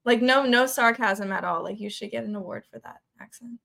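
A woman's voice: fairly high pitch, fairly quick, creaky, fairly bright tone. A woman hosting a podcast, chatting casually with her guests. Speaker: podcast host interviewing guests. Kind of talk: casual chat.

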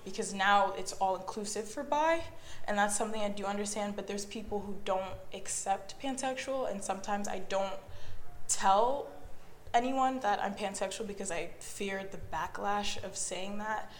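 A woman's voice: medium pitch, moderate pace. Woman interviewee, earnest and explanatory, roomy echo, faint hiss. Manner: earnest, explanatory, acts out others' remarks. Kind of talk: recorded interview answer.

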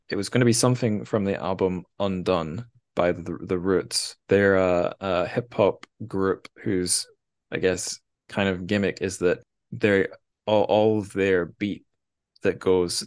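A man hosting a podcast, talking casually and rambling with lots of fillers and self-deprecating asides. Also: sits low. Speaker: podcast host. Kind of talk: casual chat.

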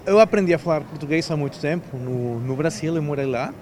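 A man in his thirties from Mexico, speaking in a language that is not his first. His voice sits slightly high. He answers casually and haltingly, often restarting a phrase. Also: darkish tone. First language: Spanish. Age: 30s. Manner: halting, restarts often, mixes Brazilian and European Portuguese phrasing. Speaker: volunteer interviewee.

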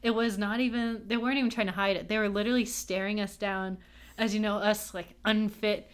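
A woman's voice: mid-range voice, fairly even intonation, brisk, clean tone. A young woman from Texas co-hosting a podcast, chatty and upbeat, joking about herself and laughing as she talks. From Texas, USA. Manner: chatty, upbeat, self-mocking, laughs often. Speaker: podcast co-host. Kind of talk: casual podcast chat.

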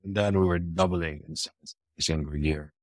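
Very low voice guy from lebanon, laid back, lots of restarts, dry close sound. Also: a little fast, no echo. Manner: relaxed, hesitant with many restarts and fillers. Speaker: conference founder, guest. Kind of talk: casual interview answers.

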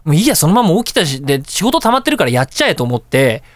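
Animated, high-pitched man talking very fast. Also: no room sound, studio quality. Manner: excitable, acts out little exchanges while telling anecdotes. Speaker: radio show host. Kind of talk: casual storytelling radio talk.

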